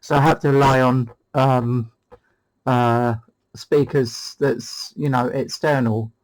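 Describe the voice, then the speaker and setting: A man's voice: mid-range voice, steady tone, slow, rough recording. A British man hosting a CB radio demo, chatting casually in a hesitant, rambling way. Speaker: CB radio hobbyist and channel host.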